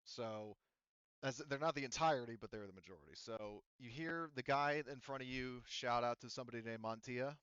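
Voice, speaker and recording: A man running a tabletop roleplaying game, improvising narration in a halting, conversational, self-correcting way. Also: medium pitch, a bit bright, smooth, no room sound, highs cut off.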